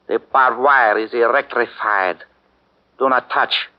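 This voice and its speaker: An actor playing a stern Japanese army lieutenant in a 1940s radio drama, with clipped commanding delivery. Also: male voice, mid-range voice, slow pace, natural voice. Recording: muffled 1940s radio broadcast.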